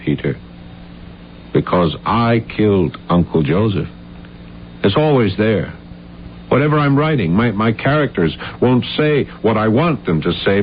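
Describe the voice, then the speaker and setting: An actor playing an earnest, halting, guilt-ridden failed playwright in a 1970s radio drama. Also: male voice, fairly low pitch. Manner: halting, intense, stammering when flustered. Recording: dull, noisy old radio recording with hum.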